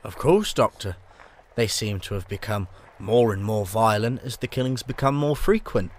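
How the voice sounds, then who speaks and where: A man's voice: lowish voice, moderate pace, natural voice. A man playing an exasperated, authoritative Victorian chief inspector in a radio drama, grim and commanding, with a British accent.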